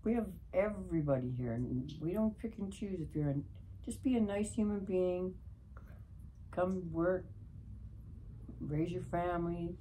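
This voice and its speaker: A woman's voice: lowish voice, a little slow, long pauses, dark tone, a little hiss or hum, poor audio. A Canadian woman chatting about her country in an earnest, agreeable way. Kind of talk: casual political chat.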